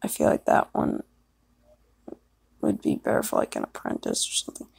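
A woman's voice: moderate pace, darkish tone, no echo. A young woman chatting casually and self-consciously, hesitant and apologetic as she records herself. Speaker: amateur voice actor recording an audition.

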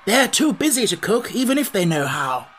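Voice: medium pitch, big pitch swings, normal speed, fairly bright tone, pitched above the performer's own voice. A storybook reader voicing a genial, self-important scarecrow king who speaks in a pompous, declamatory way. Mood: pompous, reassuring.

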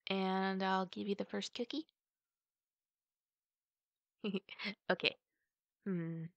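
Woman acting a playful big sister, ASMR roleplay, creaky voice, affectionate teasing, chatty, very dry close sound. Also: mid-range voice, fairly even intonation, no room sound, dull audio, full character voice. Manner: chatty, playful, with drawn-out words and hushed asides. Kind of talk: ASMR roleplay, spoken to the listener.

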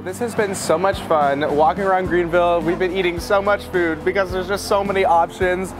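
A man's voice: high voice, fairly even intonation, normal speed. A young man in his early twenties from Texas, talking in an enthusiastic, friendly way as a student co-host of a casual vlog. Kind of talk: upbeat promotional vlog, casual chat. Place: outdoors on streets and cafe patios. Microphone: clip-on lapel mic. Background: background music.

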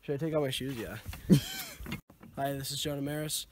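A man's voice: mid-range voice, steady tone, normal speed. A young man chatting casually into a phone camera, laid-back and joking, swearing freely. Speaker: vlogger's brother. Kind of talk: casual chat. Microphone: handheld phone camera. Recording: loud outdoor noise on a phone mic.

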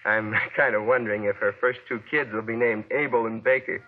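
A man's voice: on the low side, fairly even intonation, brisk. Young man on dull old-time radio. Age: young adult. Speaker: guest correspondent, former child quiz contestant. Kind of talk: scripted 1940s radio news roundup. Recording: dull lo-fi vintage 1940s radio broadcast.

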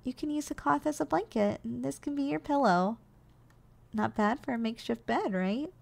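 A woman playing a gentle, doting giantess secretary in an ASMR role-play, coaxing and nurturing in manner. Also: high-pitched, normal speed, vocal fry. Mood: gentle, attentive. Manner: soft, coaxing, nurturing, teasingly affectionate. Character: gentle, doting giantess secretary caring for her shrunken boss.

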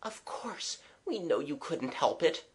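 A reader voicing a polite, matter-of-fact schoolgirl with a gentle, reassuring manner in a storybook. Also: medium pitch, expressive, normal speed, pitched above the performer's own voice, lightly acted. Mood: soothing.

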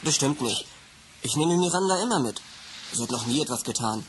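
Actor voicing a practical boy, calm tone, heavy hiss. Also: medium pitch, fairly quick, lightly acted. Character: level-headed boy with a pet gibbon.

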